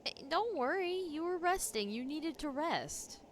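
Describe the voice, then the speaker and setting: A woman's voice: high voice, very animated, unhurried. A woman giving casual reaction commentary as a game streamer, slangy and full of interjections. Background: game music.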